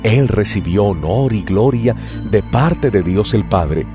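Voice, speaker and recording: A man giving a dramatized Bible reading in a slow, solemn and expressive way. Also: on the low side. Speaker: scripture reader. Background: music.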